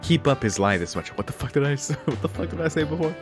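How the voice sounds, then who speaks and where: A man's voice: fairly high pitch, very animated, fast. A man streaming a visual novel, reading it aloud and reacting casually in an irreverent, sweary way, with guitar music behind him. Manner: irreverent, foul-mouthed. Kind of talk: casual let's-play, reading game text aloud with reactions. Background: game music with guitar.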